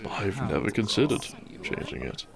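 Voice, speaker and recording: Low, creaky-voiced devoted doctor played by a man. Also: sing-song, moderate pace, crowd noise. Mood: thoughtful, agreeable. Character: gentle, devoted male country doctor and bridegroom. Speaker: audio drama voice actor. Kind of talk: romantic roleplay audio drama acting.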